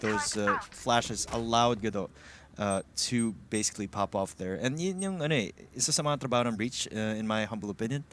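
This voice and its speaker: A man with a Filipino accent giving measured, explanatory live esports commentary with some Tagalog mixed in. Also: fairly low pitch, fairly bright tone, slight background noise. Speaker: esports shoutcaster.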